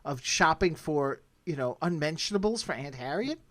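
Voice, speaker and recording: A man's voice: fairly high pitch, animated, normal speed, fairly bright tone. Man chatting casually as podcast host. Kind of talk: casual conversational TV commentary.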